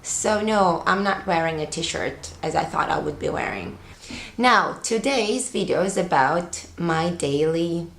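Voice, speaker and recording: Give me an unhurried woman in her thirties, slightly low voice, talking through her makeup on camera. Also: echoey room. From Latvia. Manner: relaxed, rambling, with fillers like "like" and "um". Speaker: beauty vlogger. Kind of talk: chatty makeup tutorial. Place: living room, on a sofa, indoors.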